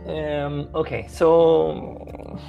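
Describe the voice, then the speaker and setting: A man's voice: a little high, little pitch movement, very slow, vocal fry, phone-quality sound, soft background music. Hesitant Chilean man. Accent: Chilean Spanish. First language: Spanish. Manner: hesitant, with many restarts and fillers. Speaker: guest musician. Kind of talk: casual interview chat.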